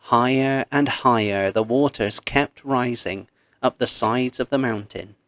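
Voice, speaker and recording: Male narrator for children's storybook read-along: unhurried, expressive, mid-range voice, dry studio-like sound. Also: medium pitch, dry recording. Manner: measured, expressive storytelling with pauses. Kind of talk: scripted read-aloud storytelling of a myth.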